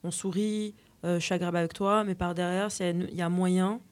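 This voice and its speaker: A woman's voice: lowish voice, steady tone, brisk, crowd noise. An adult woman hosting a casual talk with teenagers, asking questions hesitantly and rephrasing as she goes. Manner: hesitant, reflective, reformulating. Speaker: host. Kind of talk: casual discussion.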